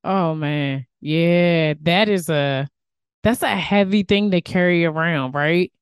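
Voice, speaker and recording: Low-voiced affirming woman host. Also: slow, clear, resonant tone, no room sound, clean studio sound. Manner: affirming, lots of backchannel agreement. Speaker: podcast host. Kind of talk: conversational interviewing.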